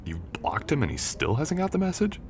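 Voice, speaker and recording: A young man playing a confident, teasing and protective college jock in an intimate boyfriend audio roleplay, speaking in a gentle, conversational way. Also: fairly high pitch, wildly swooping pitch, fairly quick, background noise. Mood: concerned, protective.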